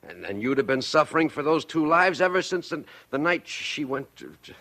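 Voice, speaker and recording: A man playing a folksy, rambling bartender who humours a troubled regular in colloquial, halting speech, in 1940s radio drama style. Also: on the high side, expressive, sharp tone, exaggerated voice. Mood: soothing, musing. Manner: colloquial, halting, drawn-out musing.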